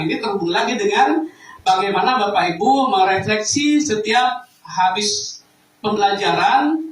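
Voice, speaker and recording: Male educator, high voice, formal and emphatic presenter, recorded from a distance in a reverberant room. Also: a bit bright. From Indonesia. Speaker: school educator presenting an improvement project to teachers. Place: echoey room, presenting live to staff. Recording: echoey room, distant microphone.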